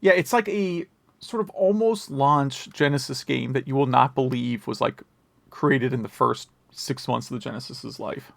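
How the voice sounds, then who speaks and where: A male podcast host with a creaky, gravel-edged voice at a middling pitch. He talks casually and rambles into asides, recorded dry and close. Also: normal speed, no room sound. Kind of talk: casual retro gaming news chat.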